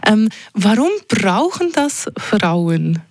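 A woman's voice: mid-range voice, big pitch swings, drawn-out words, a little mellow, dry recording, studio quality. A woman hosting a regional radio talk show, encouraging her guest and paraphrasing her answers. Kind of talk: radio interview.

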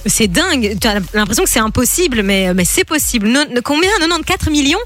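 Belgian woman co-host, rapid and lively, belgian accent, laughs a lot. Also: a little high. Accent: Belgian French. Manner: lively, laughs often, quick reactions. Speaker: radio co-host. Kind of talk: live radio banter.

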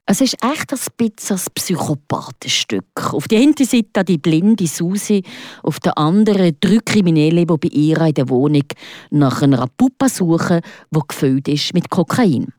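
A woman's voice: fairly low pitch, animated, moderate pace, darkish tone, no echo, clean studio sound. A woman radio reporter with a Swiss German accent, narrating a scripted theatre report.

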